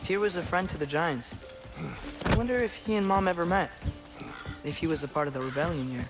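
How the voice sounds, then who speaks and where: Guy playing a curious teen boy in a game, earnest, lightish high voice, music under it. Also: fairly quick, natural voice. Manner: casual, inquisitive. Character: earnest, curious teenage boy adventurer, eager son. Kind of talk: video game dramatic acting.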